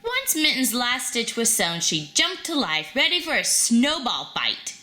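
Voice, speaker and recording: Bright, animated woman reading a children's story. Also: fairly high pitch, measured pace. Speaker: storybook reader. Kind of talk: children's story read aloud.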